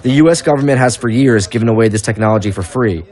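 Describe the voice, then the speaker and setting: A man's voice: medium pitch, fast talker, darkish tone. A man working as a documentary reporter, narrating and interviewing on location. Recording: music behind the voice.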